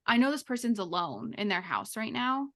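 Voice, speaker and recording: Bright-voiced woman in casual chat. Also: on the high side, big pitch swings, moderate pace. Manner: informal, heavy use of "like". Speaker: podcast co-host.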